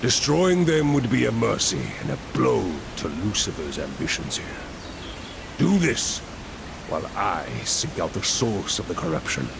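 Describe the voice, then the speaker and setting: An actor playing a proud, weary angel commander in a video game, solemn and formal in manner. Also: male voice, fairly low pitch, big pitch swings, unhurried, creaky, exaggerated voice. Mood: sombre. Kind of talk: video game cutscene acting. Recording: noisy rain-like ambience.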